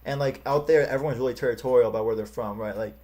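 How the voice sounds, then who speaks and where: A man's voice: medium pitch, quick pace, background noise. A man interviewing a guest over a video call, easygoing, with frequent short replies. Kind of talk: casual interview.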